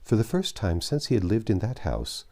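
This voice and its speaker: A man narrating a classic novel in an expressive, measured style with dramatic pauses. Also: lowish voice. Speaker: audiobook narrator. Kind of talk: expressive literary storybook narration.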